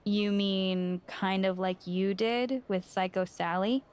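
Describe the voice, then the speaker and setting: Woman acting as sarcastic friend, female, frank, everyday mid-pitched voice, video game dialogue. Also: steady tone, slow, darkish tone, smooth, close to an everyday voice. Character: sarcastic, candid woman, wrestler's estranged friend.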